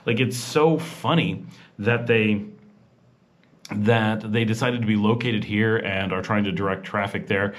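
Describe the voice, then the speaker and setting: A man's voice: fairly low pitch, unhurried. A man hosting a vlog, narrating a walking tour in a casual voice-over that rambles with many fillers and restarts. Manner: chatty and informal. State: rushed. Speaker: vlog host.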